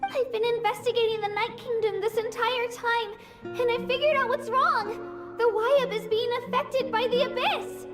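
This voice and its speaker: A woman's voice: very high-pitched, steady tone, normal speed. A woman voicing a small, humble, frightened young girl trying to be brave in a video game, speaking hesitantly and earnestly. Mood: anxious, earnest. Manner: hesitant, earnest, self-effacing. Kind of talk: English video game voice acting. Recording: music behind the voice.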